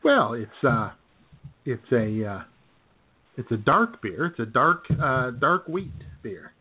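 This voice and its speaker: A man co-hosting a radio comedy show in casual banter, jokey and a little halting, often repeating his phrases. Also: medium pitch, sing-song, breathy edge. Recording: muffled phone-like internet radio audio.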